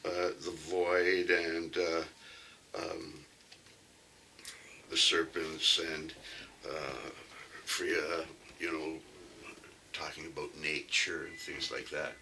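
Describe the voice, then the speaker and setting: Folksy Canadian man, low and sharp. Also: little pitch movement, takes it slowly, pauses often, far from the mic, slight background noise, rough recording. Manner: rambling, folksy, with frequent fillers. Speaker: archery vlogger. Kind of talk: casual demonstration talk.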